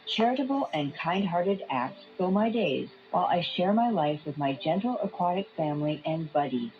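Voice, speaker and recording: Woman as a lively, gentle fish plumber, muffled radio. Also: lowish voice, normal speed, a little hiss or hum, close to an everyday voice. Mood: cheerful, benevolent. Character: gentle, benevolent blue tang fish plumber, cheerful tipster. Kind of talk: scripted TV tip narration. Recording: dull lo-fi shortwave radio broadcast. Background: music.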